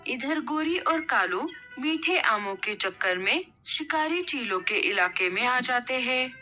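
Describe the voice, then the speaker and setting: A woman's voice: sits high, animated, measured pace, sharp tone, faint music behind. A woman reading scripted narration as the storyteller of a children's tale.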